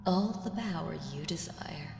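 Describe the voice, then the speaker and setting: Woman playing ancient female evil, lowish pitch, teasing, seductive, condescending, whispery turns, ASMR roleplay, music clearly behind. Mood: conspiratorial, tempting. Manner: slow, teasing, condescending, breaking into breathy whispers. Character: ancient evil female entity, smug, seductive, toying with mortals. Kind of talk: ASMR audio roleplay monologue. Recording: echoey hall reverb, distant, music behind.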